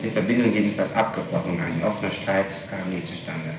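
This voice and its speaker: A man's voice: low-pitched, a little fast. A man questioning a witness as a police interrogator, formal, probing and pedantic, recorded on an old 1960s tape. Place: reverberant room, far from microphone. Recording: noisy, echoing 1960s interrogation tape, distant.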